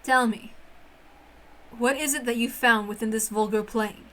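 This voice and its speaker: Haughty, scornful witch for a visual novel reading, played by a woman: formal, pompous delivery, crisp forward edge. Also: a little high, fairly even intonation, measured pace, bright, forceful tone, pitched below the performer's own voice. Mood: demanding. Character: haughty, cold, bewildered witch scorning human games.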